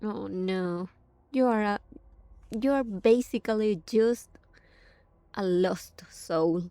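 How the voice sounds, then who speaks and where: A woman giving casual live reaction commentary on a game story, halting and musing as she thinks aloud. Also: mid-range voice, mellow, no echo.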